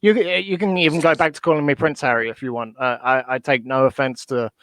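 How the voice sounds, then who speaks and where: A man's voice: medium pitch, steady tone, brisk, fairly bright tone, dry recording. A young Englishman talks as a guest on a casual podcast. He hesitates with ums and restarts while working out his answers. His voice sits in the middle range, and the recording is dry and close with no room sound.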